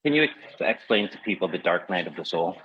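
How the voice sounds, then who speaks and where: A man with a fairly low voice hosts a casual live interview. He talks informally and haltingly, often rewording his questions midway. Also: lively intonation, breathy edge, dull audio. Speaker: interview host.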